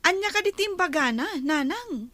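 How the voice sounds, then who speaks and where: A woman's voice: extremely high pitch, lively intonation, brisk, pushed and bright, a little hiss or hum, heavily acted. A woman acting a puzzled daughter questioning her mother in an Ilocano radio drama, with an Ilocano accent.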